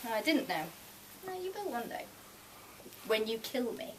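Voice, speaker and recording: A woman's voice: on the high side, sing-song, normal speed, voice pushed up. Sarcastic sceptic for a live story reading, female reader, incredulous and probing, captured distantly with room noise. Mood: sceptical. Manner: sardonic, questioning. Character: sceptical sarcastic narrator dating Death. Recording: distant mic, noisy room ambience.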